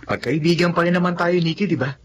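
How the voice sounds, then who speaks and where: A man's voice: fairly high pitch, normal speed, slight background noise, close to an everyday voice. A man voicing a proud young student in a radio drama, often hesitant and self-conscious.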